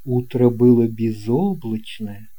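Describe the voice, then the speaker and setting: A man reads a storybook as its narrator. His tone is very dark and relaxed, at a middling pitch. He moves very slowly, setting down each short phrase with care. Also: soft background music. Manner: deliberate, short measured phrases. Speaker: audiobook narrator.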